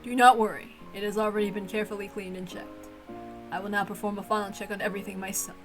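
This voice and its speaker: A woman's voice: medium pitch, level tone, fast talker, soft background music, pitched below the performer's own voice, close to an everyday voice. A woman voicing a timid, dutiful young maid who speaks politely and hesitantly in a story reading.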